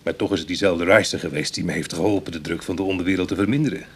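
An actor playing a charming, self-mocking ex-burglar in a Dutch radio drama, with a Netherlands accent and a wry, chatty manner. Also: male voice, deep voice, rapid-fire, husky. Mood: wry, confiding. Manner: teasing, hesitant asides, chuckling irony.

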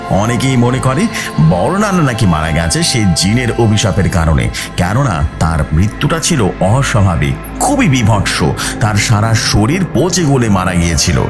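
Male horror narrator, lowish pitch, hugely varied intonation, suspenseful stretched emphasis. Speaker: host of a horror story channel. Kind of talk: dramatic scripted horror storytelling.

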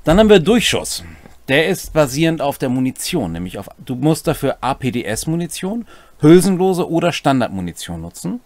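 Middle-aged man, lively intonation. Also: on the high side, normal speed. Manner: colloquial, wry commentary. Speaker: host of a tabletop role-playing rules series. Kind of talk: casual explanation of game rules with asides. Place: small furnished room at home, indoors.

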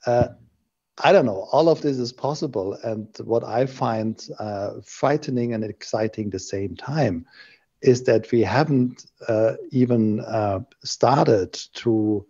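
A middle-aged man speaking in a measured, thoughtful way in a casual video call discussion. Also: mid-range voice, dark tone, husky. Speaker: panel guest. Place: indoor room on a video call. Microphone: desk microphone on a video call. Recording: dull lo-fi video call audio.

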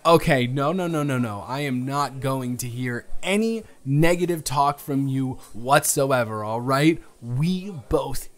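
A young man played by a male performer chats to his date in a casual romantic audio roleplay. His voice sits at a medium pitch, and he is teasing and easily flustered, often tripping over his words in mock indignation. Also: unhurried, few pauses, close to an everyday voice. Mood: mock stern.

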